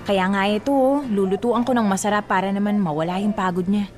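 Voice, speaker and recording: A woman's voice: mid-range voice, steady tone, fairly quick, a little mellow, faint music behind, close to an everyday voice. A woman playing a feisty, nosy married neighbor in a Filipino radio drama, chatty, emphatic and protective.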